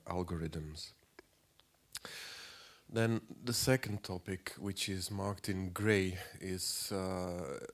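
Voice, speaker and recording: A man in his forties with a cold, giving a halting lecture as an artist, clearing his throat often and filling pauses. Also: sits low. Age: 40s. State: has a cold.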